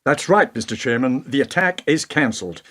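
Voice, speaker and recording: An actor playing a defiant, principled American president in a radio play, speaking in rhetorical, oratorical questions. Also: male voice, mid-range voice, expressive, fairly quick, a bit bright, close to an everyday voice. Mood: firm, composed. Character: defiant, principled male American president, indignant yet composed.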